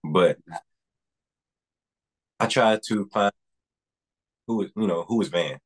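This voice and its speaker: Young guy on a webcam call from home, very deep and a bit husky, talks about himself kinda rambling. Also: takes it slowly, low-quality recording. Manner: earnest, rambling with restarts and fillers. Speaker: guest singer. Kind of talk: casual interview. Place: home room indoors. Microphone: video call webcam.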